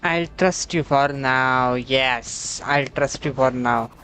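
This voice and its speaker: An Indian-accented man, a native Hindi speaker, streaming a game live. He reacts casually and very slowly in short remarks, with some music behind his voice. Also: mid-range voice, sharp tone, clear, resonant tone. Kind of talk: casual gameplay reaction commentary.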